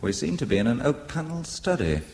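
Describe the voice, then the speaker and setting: An actor playing a wry, literate British spy given to quick understatement in an audio drama thriller. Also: male voice, mid-range voice, big pitch swings, gravelly, a little mellow, slight background noise. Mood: tense. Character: dry-witted British male spy on honeymoon.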